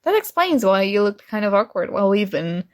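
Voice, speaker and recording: A woman's voice: mid-range voice, very animated, a little fast, lightly acted. A woman playing a kind, earnest and oblivious female friend in an intimate ASMR roleplay, speaking casually and a little hesitantly. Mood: casual, encouraging. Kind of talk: ASMR roleplay, intimate one-sided dialogue.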